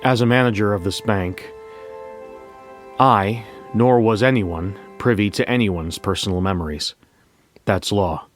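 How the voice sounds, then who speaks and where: A man narrating a scripted first-person story as a bank manager, in a measured, reflective way with deliberate pauses. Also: lowish voice, dry recording. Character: bank manager recounting a memory heist.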